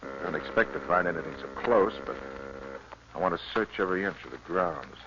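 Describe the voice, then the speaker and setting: An actor playing a troubled, obsessive psychiatrist in an urgent, dramatic 1950s radio drama style. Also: male voice, low voice, animated, a little fast. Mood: focused. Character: troubled, obsessive male psychiatrist running a sanitarium. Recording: muffled, noisy 1950 radio recording.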